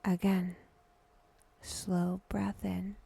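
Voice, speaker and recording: A woman guiding a meditation in a hushed voice that drifts toward a whisper, speaking deliberately in short phrases with long pauses. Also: lowish voice, little pitch movement, vocal fry, a little hiss or hum. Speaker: guided meditation host. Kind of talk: slow, breathy guided meditation narration.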